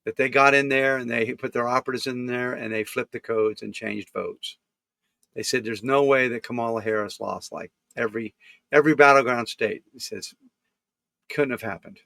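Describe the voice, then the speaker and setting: A man's voice: mid-range voice, steady tone, normal speed, vocal fry, fairly bright tone, dry recording. A man chatting casually about politics, blunt and opinionated, speaking matter-of-factly.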